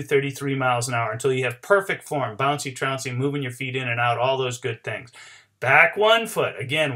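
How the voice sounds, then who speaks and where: A man's voice: a little high, bright, forceful tone. A middle-aged man explaining as an informal sports coach, emphatic and talking directly to his viewers. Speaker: barefoot waterskiing coach. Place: small cluttered indoor home office.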